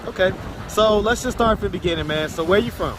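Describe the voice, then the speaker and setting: A man's voice: high-pitched, quick pace. A man hosting a street interview, asking direct, probing questions in casual slang. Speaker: street interviewer and channel host. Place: outdoors on a city street. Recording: street traffic noise outdoors.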